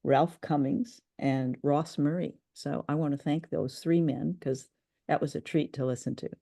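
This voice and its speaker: A woman's voice: deep voice, fairly even intonation, normal speed, creaky, mellow. A woman co-hosting a casual review show, talking with gushing enthusiasm about what she has heard. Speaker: podcast co-host reviewing old radio plays.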